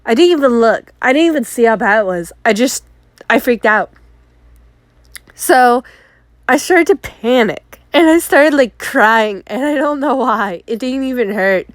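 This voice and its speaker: Congested woman vlogger, bright-ish pitch, animated storyteller, dead-dry close recording. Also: a little slow, dry recording. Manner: chatty, animated, acts out her own exclamations. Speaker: art vlogger. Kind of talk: casual story time.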